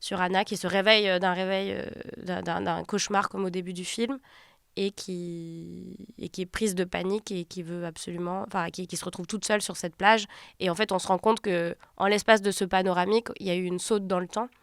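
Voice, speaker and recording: Smooth-voiced, reflective woman director. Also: fairly low pitch, steady tone, dry recording, studio quality. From Turkey. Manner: reflective, halting, pauses to find words. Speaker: film director. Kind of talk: interview about her film.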